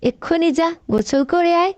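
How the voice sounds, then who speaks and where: A woman's voice: extremely high pitch, lively intonation, fairly quick, a little mellow, no room sound. A woman voicing a bossy, scolding fallen female leader with dramatic, mocking delivery in a comic cartoon. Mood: scolding, disgusted.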